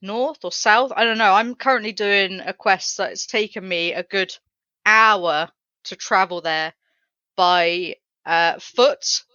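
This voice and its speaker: A woman's voice: mid-range voice, slow pace, pushed and bright, clean tone, no echo, highs cut off. A woman in her thirties co-hosting a podcast, rambling and wry in casual chat. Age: 30s. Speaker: podcast co-host.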